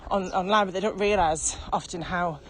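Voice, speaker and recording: A woman expert in virtual training explaining her work enthusiastically, speeding up when excited, with many ums. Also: on the low side, normal speed. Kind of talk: casual explanatory chat. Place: outdoors by a road. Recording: noisy outdoor recording with traffic.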